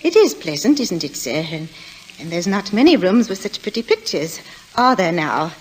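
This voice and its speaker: A woman's voice: fairly low pitch, normal speed. An actress in 1940s radio style playing an anxious working-class landlady, fluttery, stammering and eager to please. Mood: nervously eager. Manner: fluttery, stammering, servile, bustling. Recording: noisy old radio recording, steady hiss.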